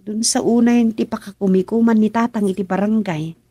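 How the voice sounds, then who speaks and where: An actress plays an insistent rural woman with an Ilocano accent in a radio drama. Her medium-pitched voice pleads and nudges with little questioning tags. It is recorded very dry. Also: fairly even intonation, fairly quick, dark tone, husky, no room sound, close to an everyday voice. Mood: coaxing. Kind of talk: radio serial drama acting.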